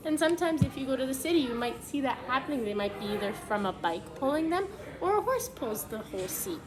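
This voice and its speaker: High-voiced woman reading aloud to children. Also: lively intonation, quick pace, a crowd in the background, low-quality recording. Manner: explains simply to young children. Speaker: preschool teacher reading a picture book aloud. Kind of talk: children's read-aloud with simple explanatory asides.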